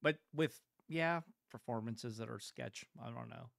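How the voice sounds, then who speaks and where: Man, middle pitch, dry recording, podcast co-host, blunt, opinionated, joking. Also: unhurried, vocal fry, fairly bright tone, no echo. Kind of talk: casual chat about films.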